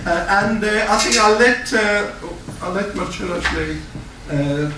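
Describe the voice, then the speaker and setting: A man moderates a book festival panel in a slightly high voice, hesitant and full of fillers. He is far from the microphone in a very reverberant library hall, with clear background noise. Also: a little slow. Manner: hesitant, many fillers, friendly asides. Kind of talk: hosting a literary panel. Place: public library event hall. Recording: echoey hall, distant mic, audible noise.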